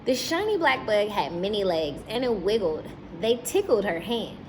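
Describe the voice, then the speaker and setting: A woman's voice: medium pitch, very animated, normal speed, noisy background. A woman teacher reading a story aloud to young children, breaking off to question and explain things to her listeners.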